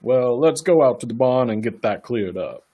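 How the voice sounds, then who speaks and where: A man in a radio play acting a brisk official who is in charge. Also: medium pitch, animated, muffled recording, heavy background noise, natural voice.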